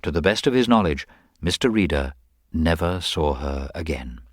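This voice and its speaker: A man's voice: a bass voice, animated, moderate pace, no room sound, studio quality. A man narrating a detective story as a scripted reading.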